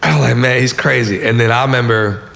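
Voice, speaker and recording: Gravelly young man from California, talking casually. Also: mid-range voice, fairly even intonation, fast, a bit bright. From Southern California. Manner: slangy, informal. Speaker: musician guest. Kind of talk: casual interview, giving advice.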